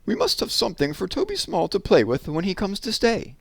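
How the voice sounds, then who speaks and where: A fussy, tidy mother teddy bear with a raised, higher voice, as a man puts her on. She speaks firmly, and the recording is dry and close. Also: huge pitch swings, brisk, vocal fry, breathy edge, dry recording, exaggerated voice. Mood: fond.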